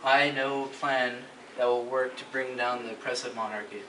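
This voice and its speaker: Man acting bright-voiced resolute revolutionary, echoey room. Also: mid-range voice, steady tone, moderate pace, natural voice. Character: resolute, fervent French revolutionary plotter. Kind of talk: amateur stage-play acting. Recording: distant echoey amateur recording, faint noise.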